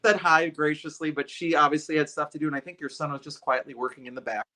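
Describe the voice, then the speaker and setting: A man's voice: fairly high pitch, steady tone, fast talker, sharp tone. A man hosting a podcast, a music educator, talking in a loose, rambling way with many restarts. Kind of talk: casual conversation.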